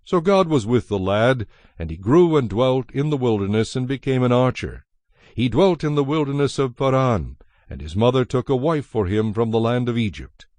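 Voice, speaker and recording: A man giving a measured, solemn narration of scripture as the narrator of a dramatized production. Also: medium pitch, expressive, dry recording. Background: soft music.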